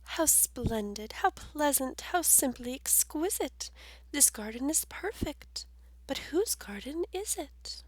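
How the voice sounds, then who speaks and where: A woman's voice: sits high, lively intonation, slow, no room sound, pitched above the performer's own voice, poor audio. A woman doing a delighted strolling lady.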